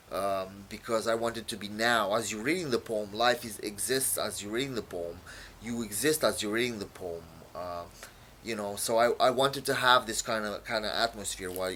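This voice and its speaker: A man from Romania, whose first language is Romanian, explains his own poem in a halting way, full of fillers and repeated words. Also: fairly low pitch, a bit bright, slight background noise. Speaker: poet.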